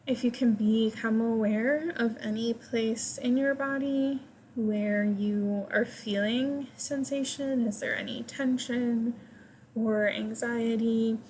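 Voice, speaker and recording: A woman leading a guided meditation, giving gentle, invitational instructions in short phrases with pauses between them. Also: fairly high pitch, slight background noise, poor audio.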